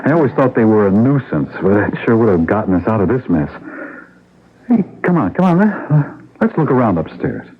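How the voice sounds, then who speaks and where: Tinny old-radio husband, low and animated. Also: male voice, moderate pace, breathy edge. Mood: rueful, puzzled. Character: stranded husband, practical, reassuring, slightly hesitant. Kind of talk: 1980s radio mystery drama acting. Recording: tinny old radio broadcast, music underneath.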